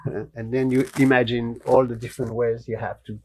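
An older man with a French accent, telling stories in a relaxed interview in a small studio room, blunt and animated, on a lapel mic. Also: mid-range voice, fairly quick, slight background noise, poor audio. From France. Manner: blunt, animated, gestures with hands while talking. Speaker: guest, martial arts teacher. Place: small studio room with wooden cabinets, indoors.

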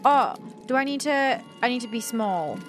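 A woman's voice: a little high, expressive, moderate pace, soft background music. A young adult woman giving casual let's-play commentary, thinking aloud in a playful, self-deprecating mutter. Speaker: gaming video host.

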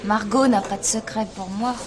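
A woman's voice: medium pitch, fairly even intonation, normal speed, a crowd in the background, close to an everyday voice. Lady playing young informant girl, confiding and coaxing, 70s french crime drama, café babble. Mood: confiding, sly. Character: coaxing young streetwise female police informant.

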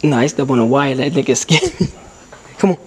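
A young man vlogging with a handheld camera as he explores a dark abandoned building at night, talking in loose slang and dropping to whispers. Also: medium pitch, fairly quick. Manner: slangy, excitable, often hushed to a whisper. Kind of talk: casual handheld vlog. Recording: noisy camera audio.

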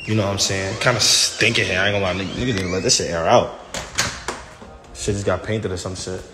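A man's voice: low voice, quick pace, noisy background. A young man livestreaming as he walks through an empty house, talking casually in slang with lots of swearing and hype, into a phone held at arm's length. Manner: excitable, slangy, swears a lot, hypes things up. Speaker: livestreamer giving a house tour. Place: large empty house indoors, walking between rooms.

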